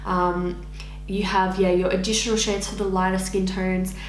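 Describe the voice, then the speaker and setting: A young woman with an Australian accent and a husky, raspy voice from losing it, chatting through a casual makeup review. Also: medium pitch, normal speed, echoey room, slight background noise, poor audio. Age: young adult. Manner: chatty, filler-heavy, animated hand gestures. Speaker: beauty vlogger. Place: indoor room against pink backdrop.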